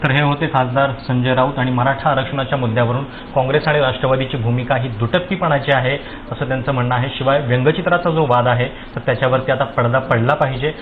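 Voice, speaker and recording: A man's voice: mid-range voice, steady tone, quick pace, hardly any pauses. A man with a Maharashtrian accent reporting from the field as a television news reporter. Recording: tinny phone-like sound, some background noise.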